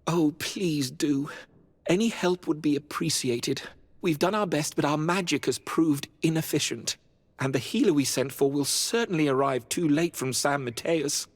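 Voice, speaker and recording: Game cleric: male actor plays pious, fretful man, formal, husky voice, long hall reverb. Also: fairly high pitch, normal speed, natural voice. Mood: worried, pleading. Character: anxious, pious cleric of a religious order. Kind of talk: video game dialogue acting.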